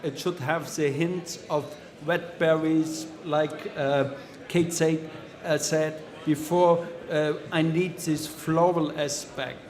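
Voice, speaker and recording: A man's voice: a little high, flat delivery, slow, a little mellow. A man with a German accent, speaking on a wine panel, earnest and plain in his explanations. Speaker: winemaker panelist. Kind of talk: panel discussion.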